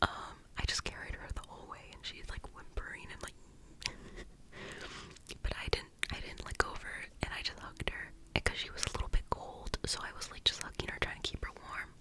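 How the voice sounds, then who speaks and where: A breathy, unvoiced whisper telling a story for ASMR, close and hushed the whole way through. The speaker rambles, fills gaps with "like," and gets tongue-tied, restarting phrases again and again. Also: moderate pace. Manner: rambling, heavy use of "like". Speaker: ASMR creator.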